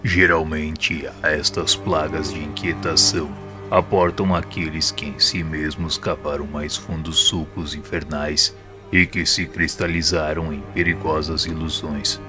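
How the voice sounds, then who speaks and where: Wise mentor spirit for audio drama, played by a man: bass-deep, grave, measured teaching delivery over clear music bed. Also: level tone. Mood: solemn, consoling. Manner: solemn, measured, didactic. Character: wise, solemn male spirit mentor explaining hell and mercy. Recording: music playing behind the voice.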